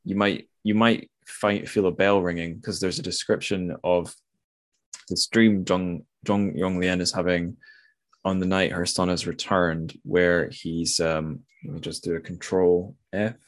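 A man's voice: sits low, level tone, a little slow. A man hosting a casual literary discussion, thinking aloud and correcting himself as he goes. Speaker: podcast host.

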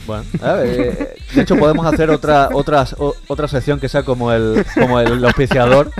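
A man's voice: mid-range voice, fairly quick, music in the background. A man from Spain with an Extremaduran accent, co-hosting a casual podcast chat and rambling with many restarts and fillers. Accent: Spanish, Extremaduran. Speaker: podcast co-host.

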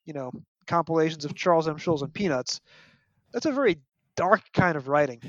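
Higher-voiced man, opinionated storyteller. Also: animated, brisk, a bit bright, no room sound. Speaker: podcast guest, fan and parent. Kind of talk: casual book discussion. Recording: dull, dry lo-fi audio.

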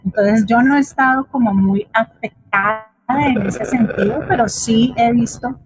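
A middle-aged Colombian woman speaking as an interviewed theatre teacher, explaining reflectively and haltingly, with frequent little checking questions. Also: on the high side, over music, low-quality recording. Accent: Colombian Spanish. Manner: explanatory, hesitant, often adds checking tag questions. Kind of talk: reflective spoken answer in an online forum.

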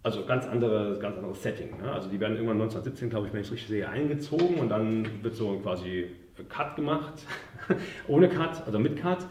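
A man discussing films casually, in a halting way, often ending statements with a short tag question. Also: lowish voice, darkish tone, a little hiss or hum. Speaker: podcast host and military historian. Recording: echoey room, distant from the mic.

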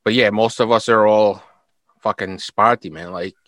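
A man's voice: lowish voice, steady tone, normal speed, fairly bright tone, dry recording. A young man with a New York accent, chatting casually, swearing freely and saying "like" and "bro" a lot. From United States. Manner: laid-back, swears freely, says "like" and "bro" often. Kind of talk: casual chat.

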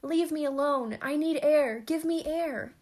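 A woman reader acts out a storybook gentleman lover in melodramatic agony. He splutters and cries out desperately, his voice loud and driven high. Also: unhurried. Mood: panicked agony.

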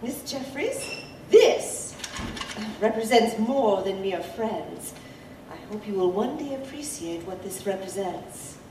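A woman playing an ancient, youthful-looking vampire wise woman in an audio drama, playfully sly, with formal, teasing, measured speech. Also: medium pitch, big pitch swings. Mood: grave, intrigued. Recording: roomy, distant, faint background noise.

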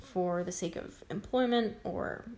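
A young woman talking casually on a podcast. She thinks out loud, restarting her sentences and stacking fillers as she goes. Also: fairly low pitch, unhurried. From Japan and New York, grew up between two languages. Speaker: podcast co-host. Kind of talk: casual chat.

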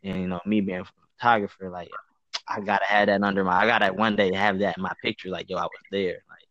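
A man's voice: flat delivery, fast talker, fairly bright tone, no room sound. A man in his twenties from the United States chatting casually, rambling with lots of fillers. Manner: loose, rambling, many fillers like "like" and "you know". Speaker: podcast co-host. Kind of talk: casual chat. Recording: muffled low-fidelity audio.

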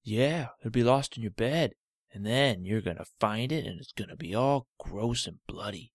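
A man voicing a stubborn male talking toe who complains loudly and insistently. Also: mid-range voice, lively intonation, moderate pace, no echo, studio quality, natural voice.

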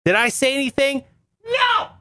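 A young man with a reedy, nasal voice, telling comic stories as a show host in an animated, mock-outraged way. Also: very high voice, moderate pace, no echo, studio quality. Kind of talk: comic monologue.

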